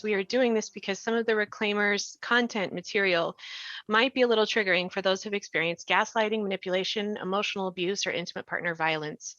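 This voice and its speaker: A woman's voice: medium pitch, a bit bright, no room sound, lo-fi sound. A woman hosting a podcast discussion, speaking earnestly and effusively as she introduces things. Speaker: host, writer and podcast voice actor. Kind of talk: spoken introduction to a behind-the-scenes podcast discussion.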